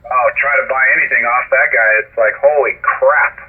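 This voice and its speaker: A man's voice: mid-range voice, steady tone, moderate pace, slightly rough. A man bantering casually over ham radio as an amateur operator, teasing and salty. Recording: very noisy tinny shortwave radio.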